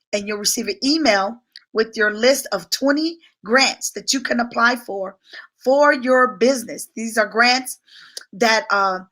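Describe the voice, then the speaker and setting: A woman with a high, bright voice that has gone a little hoarse. She talks with lively excitement on a live stream, greeting viewers one after another and reading out their comments. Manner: energetic, repeats greetings, reads out viewer comments. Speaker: guest business coach. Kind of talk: live-stream promotional talk.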